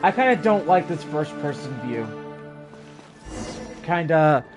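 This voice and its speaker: A man's voice: fairly high pitch, big pitch swings, slow. A man giving casual gameplay commentary as a game streamer. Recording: loud game music behind voice.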